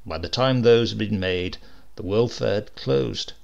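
A man reading a comic short story aloud as narrator, expressive and lively in his delivery. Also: on the low side, measured pace, gravelly, dull audio. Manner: lively, expressive reading with varied character voices. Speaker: audiobook reader.

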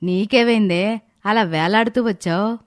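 A young village mother acted by a woman in a storybook cartoon. She speaks at a medium pitch in a plain, homely way. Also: a little slow, lightly acted. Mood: concerned. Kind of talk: animated storybook character acting.

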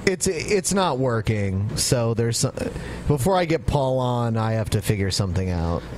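A man's voice: medium pitch, moderate pace, slight background noise. A man hosting a podcast in casual chat, running the show and giving instructions on a video call. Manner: animated, runs the show, gives instructions. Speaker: podcast host.

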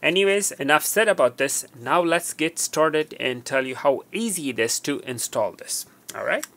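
A man's voice: fairly high pitch, lively intonation, moderate pace, bright, forceful tone. A man in his fifties giving an unscripted product demonstration, explaining and rephrasing his points as he goes. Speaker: tech tutorial presenter.

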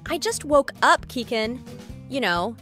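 A woman's voice: a little high, sing-song, unhurried, a bit bright, soft background music. A woman voicing a sleepy, smug cartoon cat in an amateur comic dub. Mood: drowsy, unbothered.

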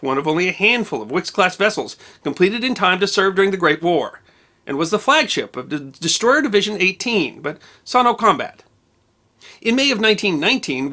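Bright, lively-pitched man narrating history. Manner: measured, precise recitation of dates and figures. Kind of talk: scripted documentary narration.

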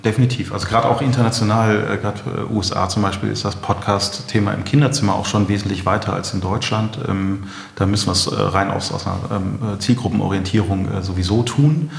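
A middle-aged man answering interview questions as a company founder, halting and often repeating words. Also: on the low side, fairly even intonation, gravelly. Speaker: company founder, podcast guest. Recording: echoey room with long reverb.

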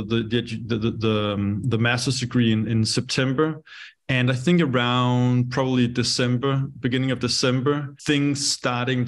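Danish man in his thirties, guest on a video call, halting delivery. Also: medium pitch. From Denmark. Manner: hesitant, many fillers and restarts. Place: bright home room.

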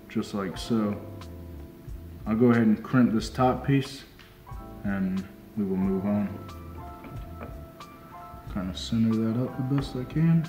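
Young man, slow deliberate talk, roomy shop sound, music underneath. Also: lowish voice. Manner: calm, unhurried, repeats "just like so" while working with his hands. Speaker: fishing charter deckhand. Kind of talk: step-by-step how-to demonstration. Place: small indoor tackle shop workbench.

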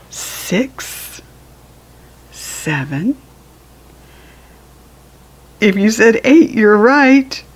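An American woman reading a counting book aloud to young children as a teacher, in an encouraging, sing-song, deliberate way. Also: on the high side, creaky, husky.

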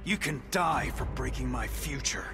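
Casting: man as theatrical supervillain speedster, mid-pitched, taunting and vengeful, loud game score behind. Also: expressive, normal speed, music in the background, natural voice. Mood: cold threatening.

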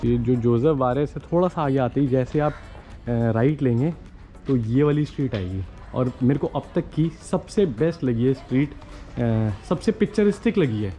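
A man's voice: medium pitch, expressive, normal speed, warm tone, faint music behind. A man in his forties walking through old streets, talking enthusiastically to a handheld camera as a travel vlogger. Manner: chatty, enthusiastic tour-guide talk mixing English words. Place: outdoors on quiet old town streets. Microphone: handheld camera at arm's length. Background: faint street ambience and vehicles.

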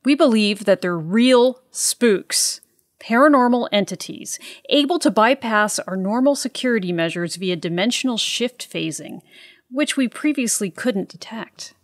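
A woman's voice: fairly high pitch, lively intonation, unhurried, no room sound, studio quality. A woman playing a composed, earnest intelligence agent in an audio drama. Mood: serious, matter-of-fact.

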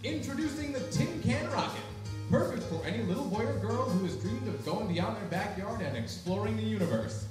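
A man's voice: high-pitched, lively intonation, a little fast. A young man delivering mock old-time radio announcements and ads in a theatrical, salesman-like way. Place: small library room, live performance. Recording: very echoey room, distant mic, music.

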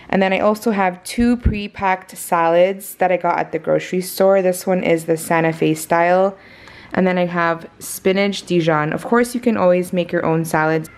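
A woman chatting through her weekly meal prep, explaining each step to viewers as she cooks in her home kitchen. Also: fairly low pitch. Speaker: cooking vlogger. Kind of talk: casual meal prep narration.

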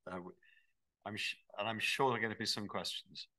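A man in his sixties chairing a question session after a talk, halting and filling pauses. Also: fairly low pitch, steady tone, bright, forceful tone, no echo. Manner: halting, with fillers. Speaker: event chair.

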